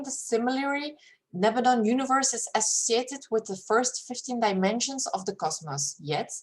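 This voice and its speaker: Flemish-accented woman reading aloud. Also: medium pitch. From Belgium. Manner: careful, steady reading with hesitant fillers when speaking freely. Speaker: reader of a paper. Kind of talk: reading aloud a spiritual paper. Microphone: screen-share recording.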